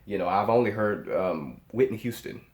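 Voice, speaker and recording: An American man giving casual, off-the-cuff reaction commentary as a channel host, emphatic and repeating himself, often halting as he searches for words. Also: lowish voice, expressive. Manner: emphatic, repeats phrases in amazement. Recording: echoey, roomy sound.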